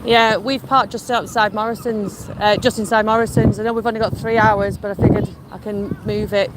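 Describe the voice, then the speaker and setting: High-voiced chatty man in loud wind. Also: little pitch movement, brisk. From Lancashire, England. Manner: rambling, chatty, reads out and answers chat. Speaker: live-streaming vlogger. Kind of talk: casual live-stream chat with viewers. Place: outdoors in a windy seaside town. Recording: loud wind noise on the mic.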